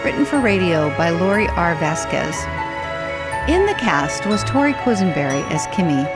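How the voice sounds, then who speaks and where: A woman's voice: on the low side, big pitch swings, moderate pace. A woman announcer reading scripted credits for a radio drama. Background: loud music.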